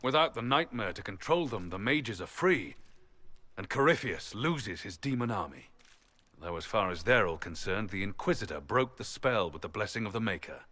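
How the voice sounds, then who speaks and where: A man's voice: lowish voice, lively intonation, normal speed, sharp tone, dry recording. An actor playing a seasoned, plain-spoken male hero in a fantasy video game, speaking with frank assurance. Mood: wry, assured.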